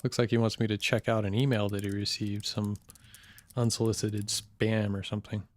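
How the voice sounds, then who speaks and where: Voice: mid-range voice, level tone, normal speed, no room sound, lightly acted. Actor as jaded off-grid hacker, dry, blunt, casual, audio drama dialogue, dry close recording. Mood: relaxed. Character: cynical, jaded computer hacker living off-grid.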